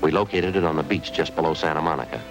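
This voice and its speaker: An actor playing a terse, businesslike police detective who narrates the case in a clipped, matter-of-fact way, in an old radio drama. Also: male voice, a bass voice, expressive, fast, low-quality recording. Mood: flat. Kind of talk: 1950s radio drama acting. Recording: old radio broadcast with music.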